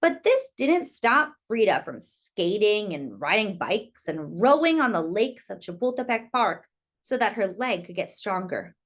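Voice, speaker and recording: A woman's voice: medium pitch, big pitch swings, a little slow. A woman reading a picture book aloud to young children, expressively and with little asides to her listeners.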